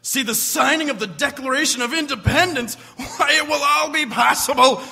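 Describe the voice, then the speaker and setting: A man playing an excitable scientist and inventor in an old-time radio drama, declaiming with grandiose passion. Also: very high-pitched, moderate pace, creaky, exaggerated voice. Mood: grandiose, excited. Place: stage in a theater hall. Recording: echoey stage hall reverb.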